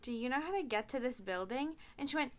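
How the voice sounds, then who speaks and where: A woman's voice: sits high, animated, fast talker. A young woman telling stories in casual podcast chat, acting out what people said. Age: young adult. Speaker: podcast guest.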